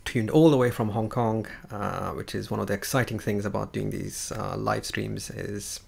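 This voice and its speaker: Gravelly low-voiced man hosting a live chat. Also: fairly even intonation, normal speed, darkish tone, slight background noise. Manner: hesitant, many fillers and restarts. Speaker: livestream host.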